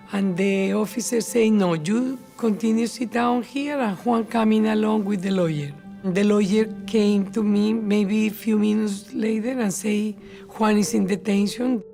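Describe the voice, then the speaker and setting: A Colombian woman with a Spanish accent, a mother speaking halting, simple English in a documentary interview. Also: mid-range voice, fairly even intonation, vocal fry. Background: soft background music.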